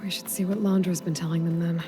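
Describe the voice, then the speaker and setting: An actress playing a capable, wry young huntress in a video game, speaking in an understated conversational way. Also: female voice, fairly low pitch, level tone, quick pace, over music, close to an everyday voice. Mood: wry, determined. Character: capable, wry, modest young female machine hunter.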